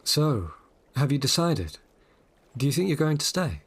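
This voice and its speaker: An actor playing a calm, assured, persuasive guide in a sci-fi audio drama, speaking in a low-key, coaxing way. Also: male voice, measured pace, husky, full character voice. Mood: gently persuasive. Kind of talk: full-cast audio drama acting.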